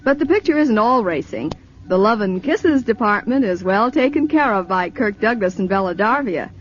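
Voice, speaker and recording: A woman's voice: mid-range voice, lively intonation, normal speed, a little hiss or hum. A woman film reporter in breezy, chatty scripted radio talk. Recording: lo-fi 1950s radio broadcast.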